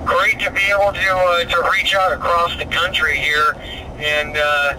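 A man with a moderately high voice chats casually over a digital ham radio link. The radio audio plays in a car, with clear road noise around it. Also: flat delivery, measured pace. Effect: heard over a digital radio link. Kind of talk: casual radio contact chat.

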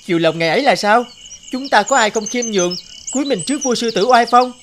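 A woman's voice: on the high side, animated, fast talker, slight background noise, natural voice. A woman voicing grumbling, sly forest animals in a children's cartoon, over music. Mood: deferential, sly.

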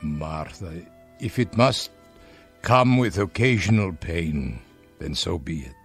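An actor playing an aging, devoted husband in a radio drama, with heartfelt theatrical delivery. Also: male voice, low voice, expressive, takes it slowly, a bit bright, husky, faint music behind, exaggerated voice. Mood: tender, resigned.

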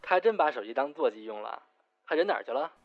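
A man's voice: mid-range voice, animated, fast talker, dry recording, natural voice. A man acting a frantic, complaining young man on the phone in a Mandarin radio drama. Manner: frantic, exclaiming. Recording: dull, dry phone-call sound.

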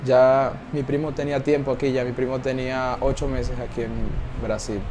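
A man's voice: mid-range voice, little pitch movement, moderate pace, background noise. A nineteen-year-old man from Venezuela, interviewed in a workshop, speaking plainly and slipping in Portuguese words. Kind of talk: documentary interview. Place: indoor workshop.

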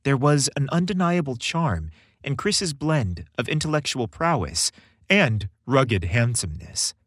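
A man's voice: mid-range voice, sing-song, a little slow, dry recording. A man hosting a story podcast, reading scripted narration with a playful, theatrical delivery, close on the microphone.

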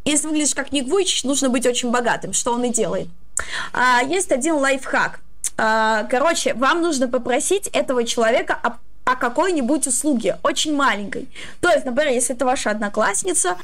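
High-voiced young woman explaining casually. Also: expressive, a little fast, a bit bright. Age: 20s. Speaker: streamer giving advice.

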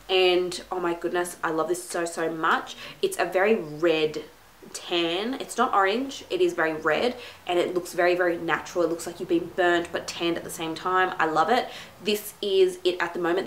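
A woman's voice: on the low side, a bit bright. A young woman in her twenties, congested from a cold, chatting and gushing through a casual beauty product review in a small room at home. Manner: gushing, chatty, lots of "like" and "my goodness". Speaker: beauty vlogger. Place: small room at home, plain wall behind. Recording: echoey room with faint hiss.

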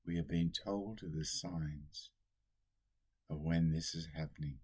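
An older man, a priest, giving a solemn sermon at a slow, deliberate pace, recorded on a webcam in a small room at home. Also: extremely low pitch, fairly even intonation, a little mellow, breathy edge.

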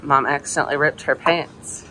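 A woman's voice: deep voice, fairly even intonation, normal speed, pushed and bright, a little hiss or hum. A woman in her thirties from the United States, vlogging to a phone camera on a ship deck and at a port terminal, chatty and explaining each step. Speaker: travel vlogger. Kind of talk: casual vlog to camera. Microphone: phone camera at arm's length.

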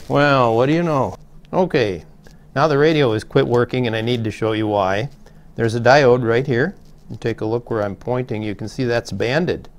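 A middle-aged man with a gravelly voice gives a casual, folksy repair tutorial, talking through each step as he works. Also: mid-range voice, normal speed. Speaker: host of a CB radio repair channel. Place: small plain indoor room, seated at a table.

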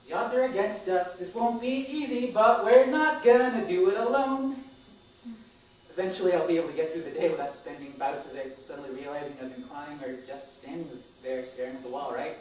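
A woman's voice: low-pitched, darkish tone. A woman in her thirties reading a personal monologue aloud on a small theater stage. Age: 30s. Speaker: performer at a live stage reading. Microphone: distant camera microphone. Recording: echoey theater, far-off camera, faint noise.

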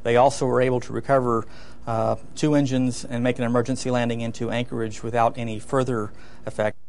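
A middle-aged man gives an unscripted interview account in a halting way with frequent pauses. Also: mid-range voice, level tone, vocal fry, a little mellow, slight background noise. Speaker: government weather agency interviewee. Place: indoor office with computer monitors.

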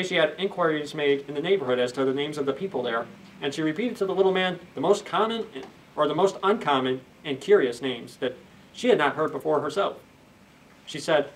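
A man in his thirties reading a children's story aloud expressively, pausing for asides to young listeners. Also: a little high, animated, a little hiss or hum. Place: indoors, library children's room. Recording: slightly distant camera microphone.

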